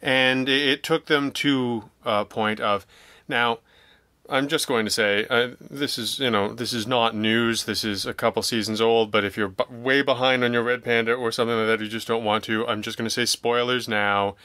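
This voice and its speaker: A man being interviewed casually, speaking in a halting, digressive way and often interrupting himself. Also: medium pitch, fairly even intonation, sharp tone, no echo. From Canada. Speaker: podcast guest, audio drama writer and producer.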